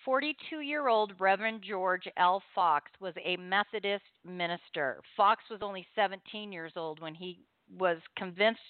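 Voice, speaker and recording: A woman's voice: medium pitch, measured pace, hardly any pauses, dry recording, phone-quality sound. A woman hosting a radio show, talking casually and reading aloud in a studio.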